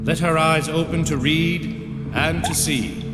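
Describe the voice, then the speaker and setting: A man's voice: on the high side, fairly even intonation, a little slow, close to an everyday voice. A man playing a formal, pompous elder of a secret order in a video game, speaking with theatrical urgency. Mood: solemn. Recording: long hall reverb under loud music.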